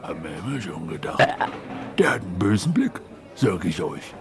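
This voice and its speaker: A man's voice: low-pitched, big pitch swings, normal speed. A man playing a suspicious, judgmental village pub regular who gossips emphatically in an amateur audio drama.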